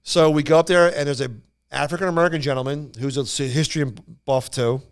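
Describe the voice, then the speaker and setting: A man casually telling anecdotes on a podcast in a rambling, animated way, acting out what people said. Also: fairly high pitch, fast talker, smooth. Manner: rambling, animated retelling with acted-out quotes. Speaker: podcast storyteller.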